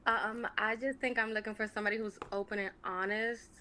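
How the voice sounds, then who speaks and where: Bright-voiced young woman on a call. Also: mid-range voice, steady tone, a little fast, a little hiss or hum. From New York, USA. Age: 20s. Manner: hesitant, many "um"s. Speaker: dating show caller. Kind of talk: answering interview questions. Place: bedroom.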